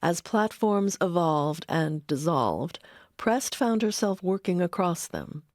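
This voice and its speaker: A woman's voice: fairly low pitch, big pitch swings, takes it slowly, a little mellow, no room sound, studio quality. A woman reading scripted documentary narration as its narrator. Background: music.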